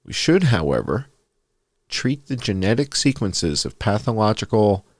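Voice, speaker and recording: A man's voice: on the low side, very animated, a little slow, dry recording. A man reading a scripted essay aloud as a narrator, in the style of a bedtime story.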